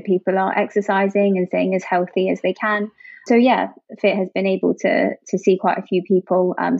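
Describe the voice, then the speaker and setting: An upbeat young woman from Jersey talks through her work as a guest, chatty and open, leaning on "actually" and "you know". Her voice sits in a middle pitch. The sound is dry and a little dull. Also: a little mellow, no echo. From Jersey, Channel Islands. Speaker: product manager, guest. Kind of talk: conversational interview answers.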